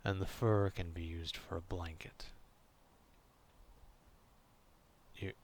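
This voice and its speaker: A man acting out a gruff, proud, distrustful werewolf in an ASMR roleplay, stern and curt but grudgingly caring, in a gravelly half-whisper. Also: sits low, steady tone, normal speed, a little hiss or hum.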